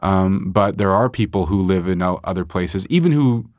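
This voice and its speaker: A middle-aged man from Montreal talks about his work in a casual interview. His voice sits very low, and the sound is close and dry. He thinks aloud, trailing off and restarting often. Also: lively intonation, moderate pace, a little mellow, no room sound. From Montreal, Canada. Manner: hesitant, many restarts and fillers, reflective. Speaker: artist guest.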